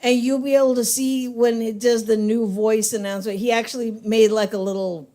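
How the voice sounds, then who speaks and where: Female emcee, low voice, lively and loud, small coffee shop venue. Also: a little fast. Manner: lively, shouting introductions. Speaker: open mic emcee. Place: coffee shop open mic.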